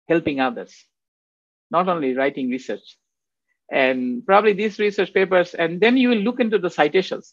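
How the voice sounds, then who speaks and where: A man's voice: a little high, no room sound. A man in his fifties from Bangladesh, giving a rambling, conversational faculty lecture from home, often checking in with "right?". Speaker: guest speaker. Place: home office. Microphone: video call. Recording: dull, dry video-call audio.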